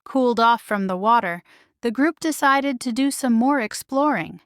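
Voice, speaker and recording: A woman's voice: on the high side, lively intonation, moderate pace, clean tone, no room sound, clean studio sound. A woman narrating a children's bedtime story from a script as a storybook reader.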